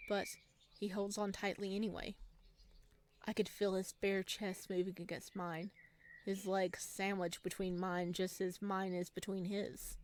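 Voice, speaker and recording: A woman reading a visual novel aloud as a let's player, casual and expressive, with jokey commentary. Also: mid-range voice, unhurried, a little hiss or hum.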